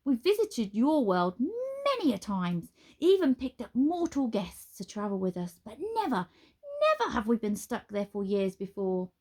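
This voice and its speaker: A woman voicing a flamboyant, excitable male wizard who speaks in a grand, overly polite way. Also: on the high side, normal speed, breathy edge. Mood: gleeful.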